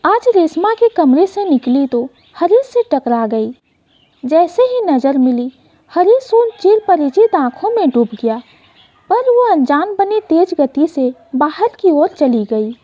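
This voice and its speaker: A woman's voice: very high voice, big pitch swings, measured pace, mellow, highs cut off. A woman storyteller narrating an emotional moral story dramatically.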